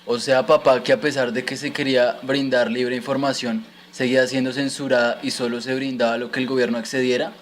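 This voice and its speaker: A man's voice: medium pitch, flat delivery, a little fast, few pauses, a little hiss or hum, lightly acted. A man playing a curious, respectful son who puts scripted questions to his father in an educational radio drama.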